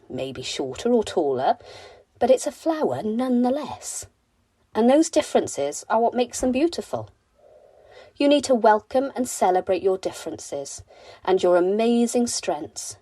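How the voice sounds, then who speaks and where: A woman voicing a gentle gnome mother, patiently encouraging and reassuring in a bedtime storybook reading. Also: medium pitch, slightly rough, no room sound.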